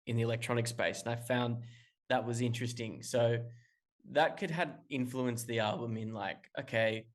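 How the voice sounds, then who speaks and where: A man's voice: medium pitch, steady tone, moderate pace. A man from Australia, a musician guest calling in remotely, talking casually in long rambling answers full of restarts and fillers. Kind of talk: casual interview talk.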